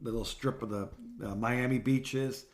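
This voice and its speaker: Halting older American man telling stories. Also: mid-range voice, steady tone. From South Florida, United States. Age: 60s. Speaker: podcast co-host. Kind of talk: casual phone chat, rambling personal anecdotes.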